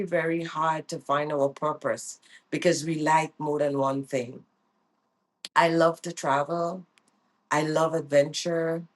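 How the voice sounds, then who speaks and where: Low-voiced woman, slow earnest vlog chat, keeps repeating herself for emphasis. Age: middle-aged. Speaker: vlogger and life coach. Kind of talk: reflective personal vlog.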